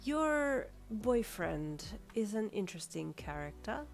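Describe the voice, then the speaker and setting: A woman's voice: mid-range voice, very animated, takes it slowly, creaky, soft background music, pitched above the performer's own voice, heavily acted. A woman voicing a seasoned older female nurse who speaks in a knowing, confiding way.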